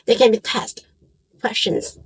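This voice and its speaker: A woman in her forties from Hong Kong, a native Cantonese speaker with a Hong Kong accent, narrates a scripted, reflective piece in measured phrases with short pauses. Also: a little high, expressive, background noise. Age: 40s. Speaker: YouTube channel creator and host.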